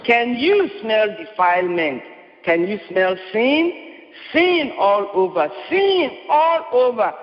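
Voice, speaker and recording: High-voiced man preaching prophecy. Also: very animated, slow pace. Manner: impassioned, repetitive declamation. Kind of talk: prophetic sermon. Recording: muffled, reverberant hall.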